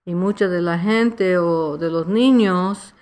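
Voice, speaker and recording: Slow, halting Nuyorican woman. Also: lowish voice, a little mellow. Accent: New York Puerto Rican. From New York, United States. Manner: halting Spanish, searching for words, slipping into English. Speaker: author being interviewed. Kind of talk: reflective personal interview in casual Spanglish.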